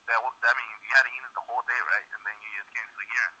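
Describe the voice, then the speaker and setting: Sharp, quick man on the phone. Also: medium pitch, fairly even intonation, breathy edge. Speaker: fast food restaurant manager. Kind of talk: unscripted phone conversation. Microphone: telephone. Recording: muffled, band-limited telephone line.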